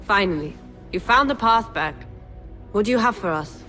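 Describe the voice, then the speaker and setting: An actress playing a brisk, cautious spymaster of assassins in a video game, with a businesslike, measured delivery. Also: female voice, medium pitch, animated, sharp tone. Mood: expectant, approving. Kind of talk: dramatic video game acting. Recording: faint music behind the voice.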